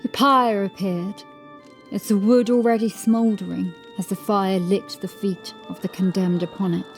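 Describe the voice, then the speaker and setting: A woman's voice: medium pitch, steady tone, unhurried. Woman narrating horror over music. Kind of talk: scripted horror audiobook narration.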